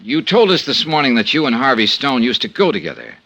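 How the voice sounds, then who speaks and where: Tough insurance sleuth, dry wit, bright tone, lively pitch, old radio transcription. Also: male voice, brisk, highs cut off, slight background noise. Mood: pressing. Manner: cool, pressing interrogation with wry asides. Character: tough, dry-witted male insurance investigator. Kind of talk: 1950s radio drama acting and narration.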